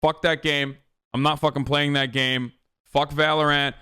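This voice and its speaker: A man's voice: fairly high pitch, level tone, normal speed, pushed and bright, clear, resonant tone. A man giving casual streamer commentary, ranting with plenty of profanity.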